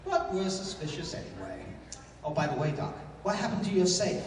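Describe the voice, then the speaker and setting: Formal, exclamatory lawyer adventurer acted by a man, raised pitch, 1930s radio manner, noisy echo-laden recording. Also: sing-song, normal speed. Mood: inquisitive. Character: formal, excitable lawyer sidekick, dapper swordsman. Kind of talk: 1930s-style radio play acting. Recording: echoey hall from far off, noisy.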